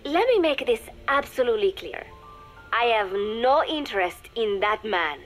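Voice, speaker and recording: A woman voicing a young undercover spy in a video game, dictating a private diary in a measured, confiding, dryly scornful way. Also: a little high, very animated, music in the background. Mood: irritated, defensive. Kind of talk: scripted dramatic diary narration for a game.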